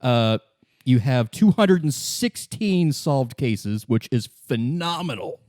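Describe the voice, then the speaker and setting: A man with a mid-range voice narrates a tabletop game as its game master. He talks casually and haltingly, backing up to rephrase, in a close, dry recording. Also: mid-range voice, lively intonation, darkish tone, no echo. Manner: halting, restarts sentences often.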